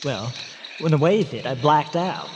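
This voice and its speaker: Formal, fussy gentleman archaeologist. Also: fairly high pitch, animated, measured pace, background noise. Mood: solemn storytelling. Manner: precise, pompous, old-fashioned phrasing. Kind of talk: old-time radio drama acting.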